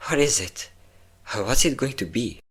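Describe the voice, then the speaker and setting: A man's voice: medium pitch, animated, measured pace, fairly bright tone, husky. A man playing a possessive, obsessive vampire lover in an intimate, dramatic roleplay audio drama. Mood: probing, persuasive.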